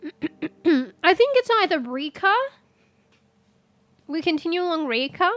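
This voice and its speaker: A woman's voice: very high voice, lively intonation, slow, a bit bright, no echo. A congested woman, rambling in casual live-stream chat as a streamer, swearing and reading search results aloud. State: congested, losing her voice.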